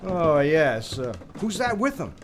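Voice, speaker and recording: A man's voice: fairly high pitch, big pitch swings, measured pace, slight background noise. A man with an American accent playing a talkative, concerned frontier town doctor in a western radio drama, folksy and full of questions. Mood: inquisitive. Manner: folksy, exclaiming, prodding with questions.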